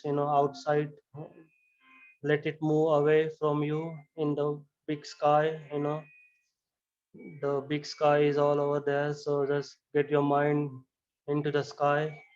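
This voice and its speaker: Monotone man guiding a yoga relaxation. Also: a little high, highs cut off. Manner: slow, repetitive calm instructions with frequent "you know". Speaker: yoga instructor. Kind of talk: guided relaxation and chanting.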